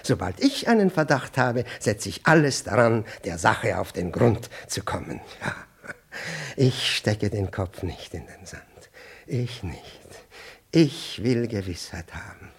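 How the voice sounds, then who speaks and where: An actor playing a pompous, scatterbrained, middle-aged senior ministry official in a radio play, stammering and losing his thread, with a husky, breathy voice. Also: fairly low pitch, animated, a little slow, exaggerated voice. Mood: jealous, brooding. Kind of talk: 1960s German radio play acting.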